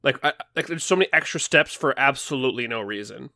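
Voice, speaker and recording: A man's voice: medium pitch, expressive, quick pace, vocal fry, sharp tone, dry recording, studio quality. A man co-hosting a podcast with casual, wisecracking banter. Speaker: podcast co-host.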